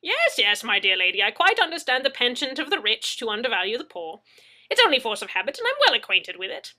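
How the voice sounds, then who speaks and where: Woman doing a loud, lofty old street eccentric, high and bright. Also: huge pitch swings, fast, exaggerated voice. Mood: grandiose. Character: eccentric older male street dweller, grand and wordy.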